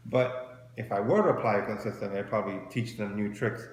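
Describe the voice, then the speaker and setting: A man's voice: lowish voice, brisk. A man in his forties giving casual, conversational advice as a co-host, often rephrasing his points. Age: 40s. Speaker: co-host of a marriage advice show. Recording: roomy echo with faint background noise.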